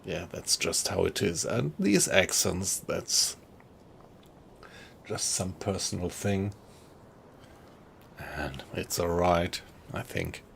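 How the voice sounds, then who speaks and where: A middle-aged man from Germany with a German accent, chatting in a friendly, halting way with viewers on a live stream. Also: deep voice, lively intonation, vocal fry, a bit bright, slight background noise. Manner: thoughtful, friendly, halting with fillers.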